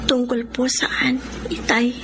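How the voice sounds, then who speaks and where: An actress playing a hurt, emotional young student and devoted younger sister in a Filipino radio drama. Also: female voice, high-pitched, little pitch movement, slow, background noise. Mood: subdued. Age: young adult. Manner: emotional, pleading.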